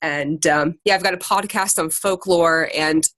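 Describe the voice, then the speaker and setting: A woman's voice: low-pitched, unhurried, fairly bright tone, no echo. An American woman with a chatty, informal, self-deprecating way of talking, giving a casual motivational talk to a group on a conference call. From Ohio, United States. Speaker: secondary teacher and podcaster leading a group call.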